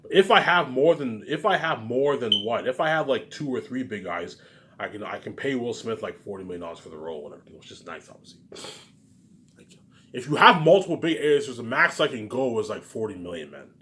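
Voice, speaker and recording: A man rambling in a casual monologue, repeating himself, jumping between loud bursts and mumbled trailing endings. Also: mid-range voice, expressive, fairly quick, slight background noise. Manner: repetitive, keeps saying "man" and "obviously". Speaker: vlogger.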